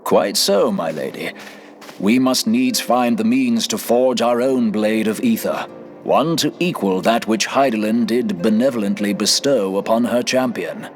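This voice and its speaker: A man playing a solemn, courtly scholar of mysteries in a fantasy game, with formal, archaic, measured phrasing. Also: fairly low pitch, soft background music, close to an everyday voice. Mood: grave. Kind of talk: fantasy game cutscene acting.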